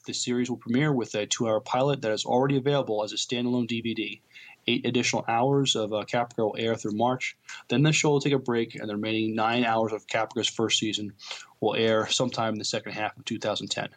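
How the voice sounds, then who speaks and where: A man's voice: medium pitch, fairly even intonation, fast talker. A man co-hosting a podcast, talking and reading news in a hesitant way, often stumbling over words. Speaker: podcast co-host.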